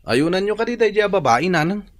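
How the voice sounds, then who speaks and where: A man's voice: sits high, expressive, moderate pace. A man with an Ilocano Filipino accent playing a sulky, lovelorn son in a radio drama. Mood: sulky, regretful. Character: sulky, lovelorn young man complaining to his mother.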